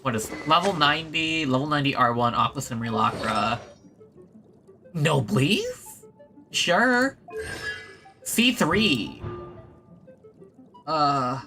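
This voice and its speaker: A woman gaming streamer commenting casually as she plays, often talking to herself. Her delivery is slowish with big swings in pitch, and game music plays clearly behind her. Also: very animated, bright, forceful tone. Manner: talks to self and to the game.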